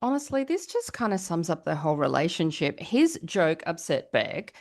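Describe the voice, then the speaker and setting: A woman with a fairly low-pitched voice hosts a gossip podcast about reality TV. She talks casually and chattily, addressing listeners directly with rhetorical questions. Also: sing-song, normal speed, darkish tone. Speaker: podcast host.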